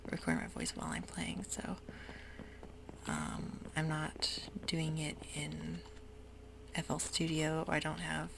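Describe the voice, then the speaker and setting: A young woman whispering casual ASMR commentary while she plays a video game, talking in a soft, rambling way. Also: deep voice. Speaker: ASMR gaming channel host. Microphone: desk mic while gaming. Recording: rough home recording with audible hiss.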